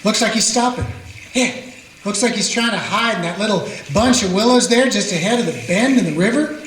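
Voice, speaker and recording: A man's voice: high-pitched, lively intonation, a little fast, a bit bright, a little hiss or hum. A man playing a plain-spoken old-west cowboy who takes charge, in a community radio play. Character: steady frontier cowboy man who leads the chase. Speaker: narrator and lead character. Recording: echoey room with guitar music.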